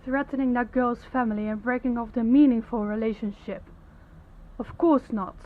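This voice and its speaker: A woman's voice: on the high side, steady tone, narrow, tinny audio, lightly acted. A woman playing a young princess, stern, principled and formal, in an audio roleplay. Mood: indignant, scornful. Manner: formal, commanding, measured diction. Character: young princess, stern, authoritative, compassionate, defiant.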